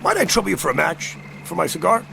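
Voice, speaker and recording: An actor playing a sly, courtly older stranger in a radio drama, with a teasing, ornately polite manner. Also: male voice, high voice, very animated, quick pace, gravelly, pushed and bright, slight background noise, heavily acted. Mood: courtly, relishing.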